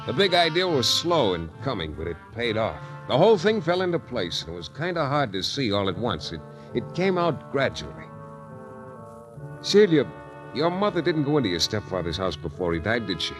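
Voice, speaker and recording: An actor playing a wry, terse, flirtatious hardboiled private detective in a 1940s radio drama. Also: male voice, medium pitch, big pitch swings, normal speed, lightly acted. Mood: intent, confident. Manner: wry, terse tough-guy patter. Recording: 1940s radio recording with music.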